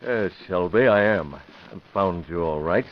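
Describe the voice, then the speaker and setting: A deep-voiced, commanding masked hero. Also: male voice, expressive, unhurried. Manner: firm, clipped, authoritative. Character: masked crime-fighting hero, calm and commanding. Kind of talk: 1940s radio acting. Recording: dull old radio broadcast with hiss.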